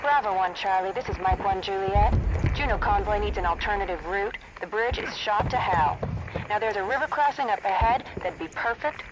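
An actress playing a businesslike military dispatcher, speaking in clipped, official phrases over a radio in a war game. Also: female voice, medium pitch, expressive, moderate pace. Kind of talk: military video game acting. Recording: radio transmission over loud vehicle noise.

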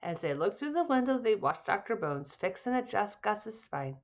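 A woman in her forties reading a children's storybook aloud in an expressive, deliberate way. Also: medium pitch, muffled recording. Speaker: storybook reader. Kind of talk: children's read-aloud, scripted narration.

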